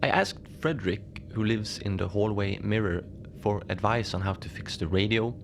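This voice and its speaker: A man playing a mild, lonely Antarctic station manager in a cozy horror audio drama, speaking in a hesitant, understated deadpan as he logs his shift. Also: lowish voice, moderate pace, vocal fry. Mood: musing, puzzled. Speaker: narrator of a fiction podcast. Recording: noisy ambient bed behind the voice.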